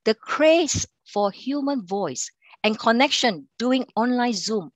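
A middle-aged woman from Singapore with a Singaporean accent, running an online sales training over a video call, talking haltingly with many fillers and restarts. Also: a little high, animated, no room sound. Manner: halting delivery with frequent fillers and restarts, quizzing the audience. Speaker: account manager and sales trainer.

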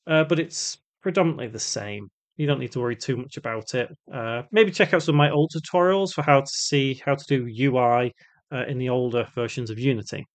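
A man presenting a casual step-by-step software tutorial, explaining and thinking aloud as he works. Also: a little high. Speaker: tutorial host.

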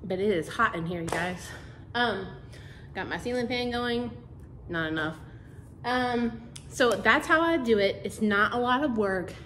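A woman's voice: fairly high pitch, expressive, a little slow, a bit bright, echoey room, a little hiss or hum. A woman, chatting casually to camera about cleaning in her living room. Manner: chatty, homey, fillers like "like" and "um". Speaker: cleaning vlogger. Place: carpeted-free living room of a house, indoors.

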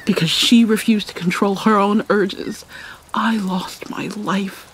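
Casting: woman to play haunted vampire mermaid princess for ASMR drama; slow, theatrical, breathy near-whisper, light rainy ambience behind. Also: mid-range voice, fairly even intonation, slight background noise, low-quality recording. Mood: grieving, bitter. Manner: halting, theatrical, mournful. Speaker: roleplay voice actor. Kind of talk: dramatic ASMR roleplay monologue.

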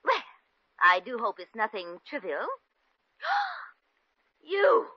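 A woman's voice: fairly high pitch, huge pitch swings, slow pace, bright, forceful tone, breathy edge, no room sound, heavily acted. An actress playing a haughty, jealous upper-class British woman with a cutting, theatrical manner in a 1950s radio comedy. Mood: shocked, indignant. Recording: dull, lo-fi old radio recording.

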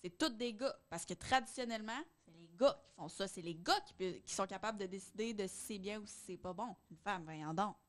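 A woman's voice: medium pitch, brisk, few pauses. A young woman with a Quebec French accent, speaking emphatically and with strong opinions in a casual group discussion on a close studio mic. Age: young adult. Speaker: podcast panelist. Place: small podcast studio. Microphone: close studio microphone on boom arm.

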